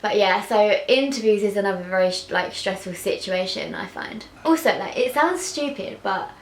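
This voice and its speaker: A young woman speaks candidly to camera, her words often snagging on a repeated sound before they come out. She fills her talk with easy "like"s, her voice neither high nor low. The bedroom around her gives a clear echo. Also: animated, normal speed. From England. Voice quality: stutter with sound and word repetitions. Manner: open, heartfelt, many fillers. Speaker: vlogger. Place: small bedroom indoors. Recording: echoey bedroom.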